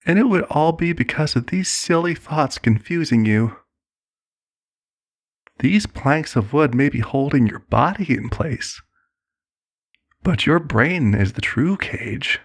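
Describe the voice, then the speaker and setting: A man's voice: fairly high pitch, lively intonation, slow, pauses often, heavily acted. A man performing an ASMR roleplay as a serenely manipulative cult leader, soothing, condescending and persuasive. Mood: patronizing, persuasive.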